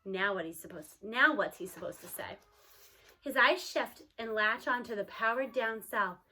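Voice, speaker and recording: A woman reading a storybook aloud as narrator, expressively, with an animated manner for young listeners. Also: a little high, normal speed. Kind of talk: expressive storybook read-aloud with character dialogue.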